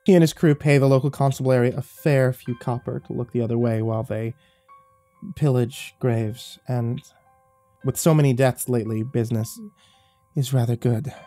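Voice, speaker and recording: A man voicing a coarse, greedy young woman of the streets in an audiobook, sharp-tongued and brash. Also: mid-range voice, fairly even intonation, normal speed, faint music behind, close to an everyday voice. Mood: knowing. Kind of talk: audiobook character voice.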